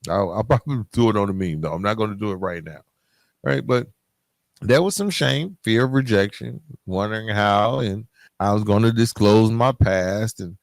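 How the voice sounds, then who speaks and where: Man, lowish pitch, podcast host, conversational solo talk, informal, dry room sound. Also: normal speed, creaky, dry recording.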